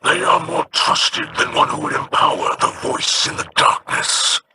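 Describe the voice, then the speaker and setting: A man's voice: unhurried, bright, forceful tone. An actor playing a solemn, formal male alien leader who gives grave commands.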